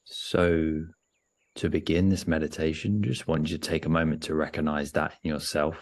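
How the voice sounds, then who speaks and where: A man leading a guided meditation in a gentle, unhurried way, often pausing mid-sentence. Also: rumbling low, level tone.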